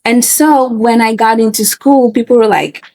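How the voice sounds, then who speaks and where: A woman in her twenties who grew up in Portugal, speaking softly and reflectively as a guest in a candid interview. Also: on the high side, steady tone, moderate pace, no room sound. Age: 20s. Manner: soft-spoken, reflective, with frequent fillers.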